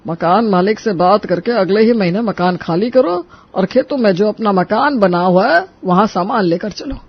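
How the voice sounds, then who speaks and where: A man's voice: high voice, normal speed, husky. A man playing a stubborn, folksy older father-in-law in a cartoon story. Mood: firm. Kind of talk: Hindi moral cartoon story acting. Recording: dull, band-limited track.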